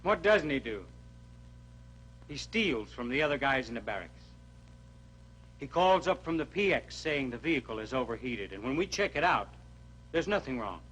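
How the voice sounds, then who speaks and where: An actor playing an army motor sergeant who complains bluntly about a troublesome soldier. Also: male voice, medium pitch, sing-song, normal speed, long pauses, fairly bright tone, slight background noise, low-quality recording. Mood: exasperated. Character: gruff exasperated male motor sergeant. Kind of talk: 1960s educational film acting.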